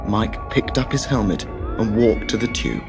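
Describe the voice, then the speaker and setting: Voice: mid-range voice, moderate pace, music in the background. A storyteller reading a children's tale as dramatic scripted narration.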